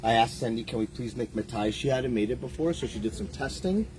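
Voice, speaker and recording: A man's voice: medium pitch, brisk, a little mellow, slight background noise, rough recording. Chatty Guyanese man cooking. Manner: upbeat, chatty, addresses viewers. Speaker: guest chef. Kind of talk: casual cooking chat. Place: home kitchen.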